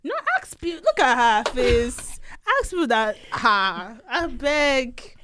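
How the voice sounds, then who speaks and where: A woman's voice: on the high side, lively intonation, slow, noisy background. A woman whose first language is Igbo, co-hosting a podcast in casual chat, playful and self-assured, laughing often. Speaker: podcast co-host.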